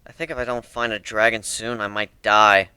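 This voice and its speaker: A brooding male griffin captain, played by a man in a fantasy story. He is stubborn and earnest, grumbling in a bright voice, recorded close and dry. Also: fairly low pitch, flat delivery, normal speed, clear, resonant tone, no echo. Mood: grave.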